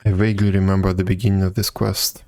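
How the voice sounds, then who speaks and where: This is a man live-streaming a game in a very low voice with plenty of creak. He comments casually and drily, now and then reading the dialogue out in an offhand way. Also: flat delivery, fast talker, a little mellow.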